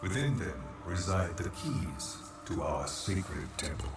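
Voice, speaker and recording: An ancient male guardian speaks from far off in a cavernous echo. His low voice is grave, solemn and commanding, as in a fantasy game, with music underneath. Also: sing-song, slow, exaggerated voice. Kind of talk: video game voice acting. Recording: heavily echoing, distant, music behind.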